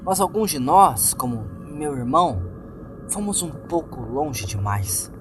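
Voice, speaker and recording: A Brazilian man voicing an earnest, troubled young woman who practises witchcraft, explaining herself haltingly in a horror story. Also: on the high side, sing-song, pitched above the performer's own voice. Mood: confiding, uneasy. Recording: music bed behind the voice.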